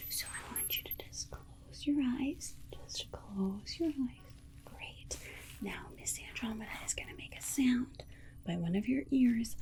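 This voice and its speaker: A woman playing a gentle alien scientist in an ASMR roleplay, soothing and coaxing in a repetitive, babying manner. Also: on the high side, close to an everyday voice. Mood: soothing, encouraging. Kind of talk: whispered ASMR medical roleplay.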